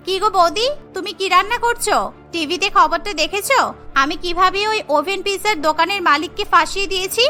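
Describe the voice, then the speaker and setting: Spiteful, insistent young female student, cartoon, played by a woman; piercingly high, bright voice over background music. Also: brisk. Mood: gloating. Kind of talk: cartoon dialogue acting.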